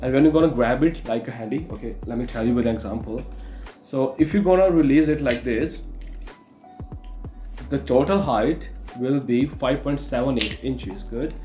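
A man's voice: medium pitch, moderate pace, dark tone, echoey room. A young man with an Indian accent chats through a gadget unboxing in his English. He leans on fillers and restarts as he goes. Faint background music plays under his voice in the small studio room. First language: not English. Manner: chatty, many fillers like "you know". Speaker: tech reviewer. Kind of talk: casual unboxing review. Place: indoor studio room with curtains.

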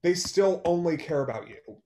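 A man's voice: a little high, animated, normal speed, warm tone, slight background noise, natural voice. Liam, bitter songwriter acted by a man, echoey. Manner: terse, sarcastic. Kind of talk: online one-act play table read. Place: small room at home, video call. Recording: roomy, echoey video-call sound.

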